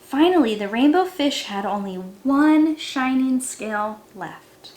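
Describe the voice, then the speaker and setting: A woman teacher reading a picture book aloud in an expressive, animated way to young listeners. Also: high-pitched, takes it slowly. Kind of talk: expressive children's read-aloud. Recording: roomy, echoey sound.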